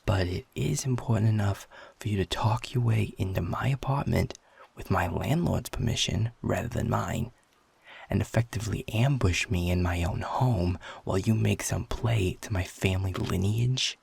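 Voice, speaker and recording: Man acting a low, husky, feisty werewolf. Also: moderate pace, a little mellow, no echo, exaggerated voice. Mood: angry, blunt. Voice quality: husky, gravelly. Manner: sardonic, blunt, swearing, confrontational. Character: feisty, guarded young male werewolf, sarcastic and defiant. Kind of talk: intimate audio roleplay acting.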